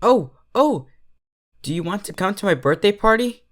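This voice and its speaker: A narrator voicing a shy, excitable young pegasus filly in a children's cartoon fan story. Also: mid-range voice, normal speed, voice pushed up, close to an everyday voice. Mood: eager.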